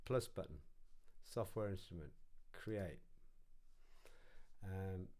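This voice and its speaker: A man's voice: low-pitched, slow pace, darkish tone. A man narrating an informal music production tutorial, casually thinking aloud as he works.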